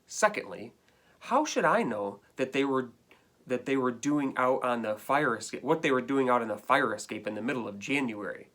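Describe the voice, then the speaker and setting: A man's voice: medium pitch, expressive, moderate pace, fairly bright tone. Guy doing a whiny dramatic dog from a kids book, super put-upon, complains with lots of emphasis. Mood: defensive. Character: indignant, melodramatic, put-upon pet dog writing letters. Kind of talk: storybook read-aloud.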